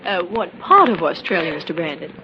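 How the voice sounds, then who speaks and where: A woman's voice: lowish voice, animated, a little fast, a crowd in the background, natural voice. An actress playing a scheming woman who poses as an heiress, in 1940s radio drama style. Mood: genteel, guarded. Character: scheming female impostor posing as a refined heiress. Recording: dull, hissy old radio recording.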